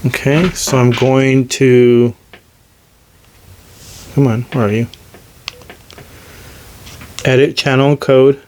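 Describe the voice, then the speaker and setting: A man hosts a casual hands-on gadget demo in a medium-pitched voice. He feels his way along without a script, hesitant and a little self-deprecating. There is faint noise behind him. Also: flat delivery, slow, pauses often, a little mellow. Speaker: host demonstrating radio gear.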